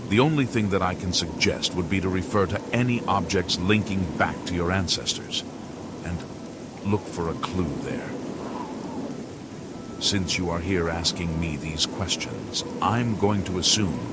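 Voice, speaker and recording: A man playing a curt, officious imperial official in a fantasy game, speaking formally and precisely, as if reluctant to advise. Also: sits low. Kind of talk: video game character dialogue. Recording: loud rain and thunder noise. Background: rain and thunderstorm.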